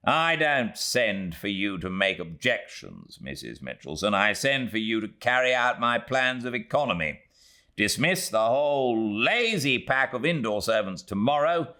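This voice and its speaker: A man's voice: on the low side, sharp tone, full character voice. A man voicing a curt, tight-fisted and impatient Victorian baronet with a British accent, in an audiobook. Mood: impatient, scornful. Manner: brusque, commanding. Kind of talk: audiobook character voice.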